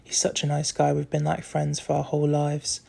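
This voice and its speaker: A young adult content creator talks in a put-on British accent. The voice is husky and creaky, sitting at a level, unhurried register and often trailing into breath. The delivery is soft, candid and meandering, like a casual confessional recorded on a phone. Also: medium pitch, monotone. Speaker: social media content creator. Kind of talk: casual rambling confessional chat to followers.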